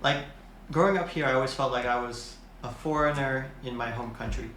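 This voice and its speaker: A man's voice: mid-range voice, a little fast, a bit bright. A man co-hosting a podcast, talking casually and reflectively about his own experience. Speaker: podcast co-host. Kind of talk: casual conversation. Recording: echoey room, faint noise.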